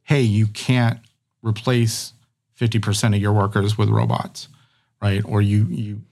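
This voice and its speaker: A man's voice: fairly low pitch, little pitch movement, measured pace, vocal fry, a little mellow. A middle-aged man hosting a conversational podcast, rambling through his thoughts with many fillers and restarts.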